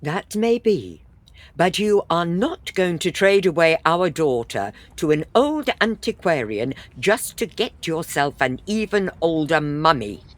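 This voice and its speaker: A woman's voice: deep voice, very animated, measured pace, dry recording. A woman playing a firm, indignant wife and mother, scolding emphatically in a farcical audio drama.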